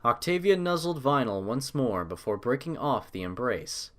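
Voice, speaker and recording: A man reads scripted fiction as the narrator. His voice sits at a medium pitch and moves with very lively, varied intonation. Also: normal speed.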